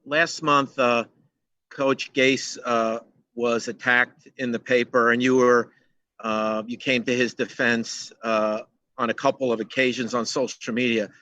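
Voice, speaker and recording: A man asks questions as a reporter on a video press call. His mid-range voice is bright and forceful, and the sound is dry and close. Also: mid-range voice, little pitch movement, measured pace, no room sound.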